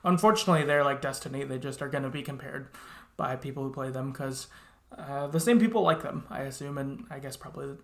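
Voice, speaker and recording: A woman with a creaky voice chats casually about games. She sounds agreeable and a little tentative. The recording is roomy, with clear echo. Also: very deep voice, quick pace, a bit bright. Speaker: podcast co-host discussing video games. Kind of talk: casual chat, game review.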